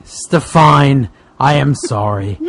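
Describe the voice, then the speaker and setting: A man voicing a solemn, heroic boogeyman, halting and grandly melodramatic in a comic fan fiction reading. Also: mid-range voice, gravelly, a bit bright, no room sound, full character voice. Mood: solemn resolve. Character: solemn heroic male boogeyman, vowing and tragic.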